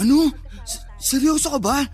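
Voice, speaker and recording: Uneasy young Filipino cousin: high voice, slangy, skeptical, radio drama with faint music. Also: male voice, moderate pace, darkish tone. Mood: startled, incredulous. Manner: slangy, incredulous.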